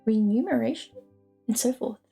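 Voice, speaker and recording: High, creaky-voiced woman narrating a story. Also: moderate pace, dark tone, soft background music. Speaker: streamer. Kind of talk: amateur dramatic storybook narration.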